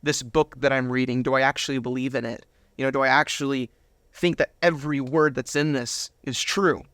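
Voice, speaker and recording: A man's voice: mid-range voice, steady tone, brisk, a bit bright, smooth, no room sound, studio quality. A man giving a personal testimony as an interview guest, retelling moments with quoted dialogue acted out.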